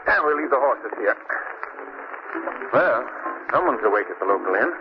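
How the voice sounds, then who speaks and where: An actor playing a calm, courteous gentleman investigator in an old radio drama, composed and curious. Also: medium pitch, moderate pace, natural voice. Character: calm, inquisitive gentleman detective, adult man. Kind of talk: 1940s radio acting. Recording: tinny old radio broadcast with loud hiss.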